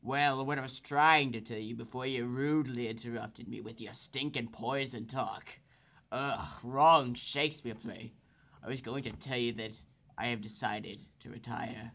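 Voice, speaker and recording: A man playing a gravelly aging mafia boss. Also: medium pitch, steady tone, measured pace, fairly bright tone. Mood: gruff.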